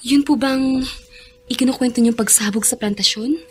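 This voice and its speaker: A woman playing a gentle, hardworking young plantation worker in a Filipino radio drama. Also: a little high, normal speed, slightly rough. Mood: concerned, soothing.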